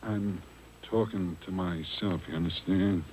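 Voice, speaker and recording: A surly barkeep in a vintage radio crime show. His low voice is curt and guarded, full of tough street slang. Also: steady tone, measured pace, a little mellow, slight background noise, low-quality recording. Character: surly tough cagey barroom bartender. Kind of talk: 1950s radio crime drama acting. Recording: old radio broadcast taped to cassette.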